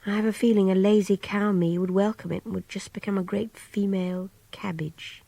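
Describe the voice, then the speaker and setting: A young English woman, reflective and idealistic, confides in a radio drama monologue. Her voice is husky and creaky, close and dry, often sinking towards a whisper. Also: medium pitch, steady tone, a little fast, dark tone, no echo. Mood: anxious, reflective. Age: young adult.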